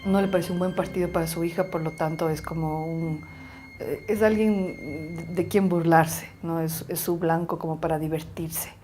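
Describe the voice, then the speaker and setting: A woman's voice: low voice, steady tone, normal speed, warm tone, faint music behind. A woman giving casual, colloquial and emphatic interview commentary. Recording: faint ambient noise.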